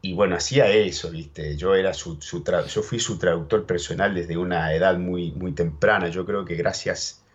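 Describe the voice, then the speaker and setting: Argentine guy, real deep voice, rambling storyteller, dull lo-fi sound. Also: fairly even intonation, moderate pace, hardly any pauses, husky. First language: Spanish and English, bilingual. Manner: rambling, repeats words, keeps saying ¿viste?. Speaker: interview guest. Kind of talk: casual storytelling chat. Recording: dull lo-fi audio.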